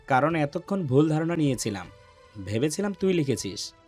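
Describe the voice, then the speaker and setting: A man acting a brooding, lovesick, gruff young man. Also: sing-song, normal speed, darkish tone, soft background music. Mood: curt. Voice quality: deep, heavy voice. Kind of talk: dramatized romance audio story.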